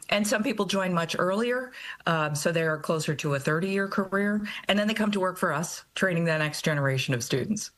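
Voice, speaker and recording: A woman's voice: fairly low pitch, a bit bright. A middle-aged American woman speaking as an expert guest in a news interview, explaining things conversationally and often checking with a short tag question. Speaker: college president, interview guest.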